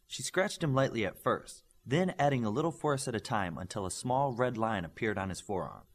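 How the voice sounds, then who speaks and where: Man, audiobook narrator, scripted fiction reading, lively intonation. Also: medium pitch, fairly quick. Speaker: audiobook reader.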